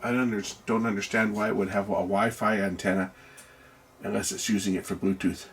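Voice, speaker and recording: A man with a gravelly voice giving casual, hands-on electronics commentary, musing aloud and asking himself questions as a video host. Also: lowish voice, fairly even intonation, brisk.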